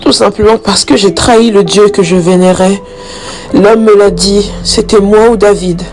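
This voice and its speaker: A woman's voice: medium pitch, steady tone, normal speed, darkish tone, lightly acted. Woman acting guilt-ridden young daughter over music. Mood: remorseful. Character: troubled young daughter, guilt-ridden, repentant, fearful of death. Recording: background music under the voice.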